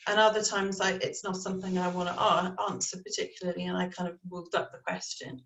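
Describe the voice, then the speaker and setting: A middle-aged English woman speaking on a panel, candid and measured as she reflects. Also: lowish voice, little pitch movement, creaky, a bit bright. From England. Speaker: panelist, community organiser. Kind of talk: panel discussion. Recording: echoey room.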